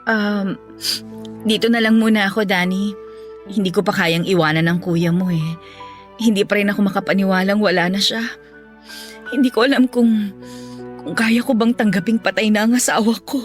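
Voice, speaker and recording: A woman with a Filipino accent playing a sorrowful, halting young widow in a radio drama. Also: medium pitch, fairly even intonation, faint music behind, close to an everyday voice. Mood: grieving, disbelieving. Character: grief-stricken young widow, reluctant and disbelieving.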